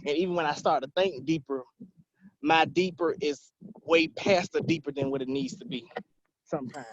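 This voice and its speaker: A young man in his twenties talks in a high, lively voice that jumps all over the place, rambling and restarting his sentences. He is on a phone, and the sound is dull with a faint hiss behind him. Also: normal speed, gravelly. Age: 20s. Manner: rambling, self-correcting, upbeat. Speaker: program participant. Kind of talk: casual video call chat.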